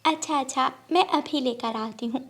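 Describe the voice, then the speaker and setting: A kind female village sparrow from a children's cartoon, put on by a woman narrator. She speaks in a high voice, polite and hospitable, explaining things gently. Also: normal speed. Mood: polite, earnest. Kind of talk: children's cartoon story acting.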